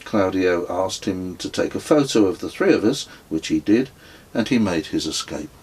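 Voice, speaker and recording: A man reading his own memoir as an audiobook, with husky, measured, descriptive narration. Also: low-pitched, animated, darkish tone. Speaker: author reading own book.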